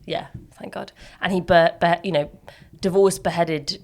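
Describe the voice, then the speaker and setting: A woman's voice: fairly even intonation, moderate pace, darkish tone. A young woman with a slightly nasal voice, chatting casually and rambling through stories with a podcast friend. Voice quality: slightly low, nasal from sinus problems. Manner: rambling, chatty storyteller who laughs mid-sentence. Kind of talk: casual chat between friends.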